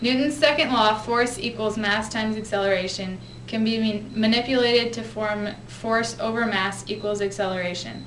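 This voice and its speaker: A woman's voice: a little high, normal speed, few pauses. Woman explaining, roomy distant sound. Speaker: physics explainer. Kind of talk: scripted educational narration. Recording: roomy, distant, noisy amateur recording.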